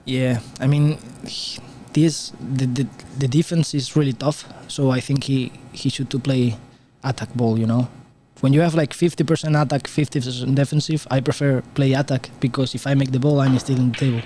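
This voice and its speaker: A young man from Spain with a Spanish accent, a guest player commenting on pool in halting, restarting English from a tournament booth. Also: medium pitch, fairly even intonation, mellow, a little hiss or hum. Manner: halting non-native English with frequent restarts.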